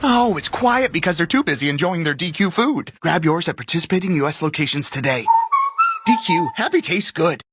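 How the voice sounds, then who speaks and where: Lively, animated radio ad announcer. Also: medium pitch, brisk, very noisy. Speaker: advertisement announcer. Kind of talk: scripted radio fast-food ad read.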